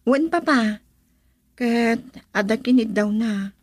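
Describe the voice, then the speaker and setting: A woman's voice: on the high side, slow. A woman with an Ilocano accent playing a hesitant young woman in love, a dutiful daughter in a radio drama. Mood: earnest, careful.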